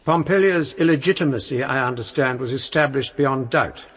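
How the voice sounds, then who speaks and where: Stern judge in a reverberant hall. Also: male voice, medium pitch, moderate pace, a bit bright, husky. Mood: stern, probing. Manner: formal, pointed, accusatory. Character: probing, stern judge questioning a witness. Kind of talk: period radio drama acting.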